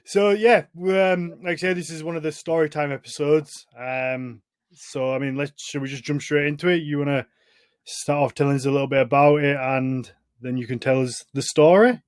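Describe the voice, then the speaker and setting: A man's voice: on the high side, steady tone, normal speed, clear, resonant tone. A man with a British accent hosting a podcast in a casual, informal and self-deprecating way. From Britain. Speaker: podcast host.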